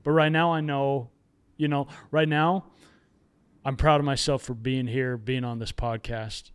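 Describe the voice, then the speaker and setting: A man's voice: mid-range voice, steady tone, a little slow, clean tone. A man who writes and hosts his own podcast, speaking as a guest and talking candidly about himself with self-deprecating humor. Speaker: podcast guest, author and podcast host. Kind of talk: reflective casual conversation.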